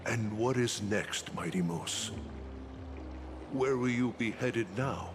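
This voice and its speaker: A man's voice: medium pitch, slow, lightly acted. An actor playing a proud, impassioned warrior subject of a king in a video game, speaking with formal, emotional intensity. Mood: subdued, questioning. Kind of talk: dramatic video game voice acting. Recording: loud music under the voice.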